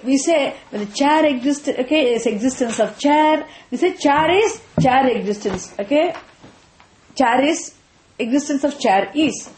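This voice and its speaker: A woman's voice: sits high, a little mellow. Indian woman, Tamil accent, middle-aged, spiritual class talk, echoey room. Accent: Indian English, Tamil. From Tamil Nadu, India. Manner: checks with listeners often, repeats key phrases. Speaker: Vedanta teacher.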